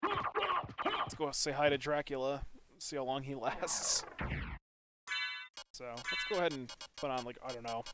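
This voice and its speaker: A man's voice: mid-range voice, very animated, measured pace, pauses often. A man live streaming a video game, giving casual, informal commentary, thinking aloud and chatting with viewers. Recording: loud game music behind the voice. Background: video game music.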